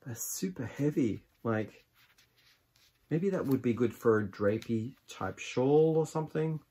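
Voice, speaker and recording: A man in his forties giving a casual product review to camera, unhurried and musing as he thinks aloud. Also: mid-range voice, expressive, dark tone, breathy edge. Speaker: yarn vlogger, host. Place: small indoor craft room with shelves of yarn.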